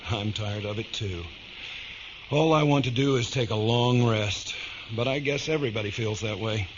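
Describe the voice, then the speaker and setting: An actor playing a war-weary scientist and husband in a radio drama, talking in a tired, grumbling, conversational way. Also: male voice, fairly low pitch, normal speed, close to an everyday voice. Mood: weary, resigned. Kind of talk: naturalistic radio drama dialogue. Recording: dull audio with clear background hiss.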